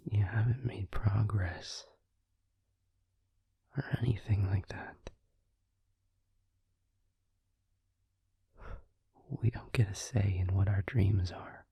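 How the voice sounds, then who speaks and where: Man playing a low, breathy whispering vampire boyfriend. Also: no room sound, muffled recording, heavily acted. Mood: reassuring. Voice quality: breathy, hushed, mostly whispered. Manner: soothing, tender, slow and reassuring. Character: gentle vampire boyfriend comforting his partner. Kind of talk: intimate whispered comfort roleplay audio. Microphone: close microphone.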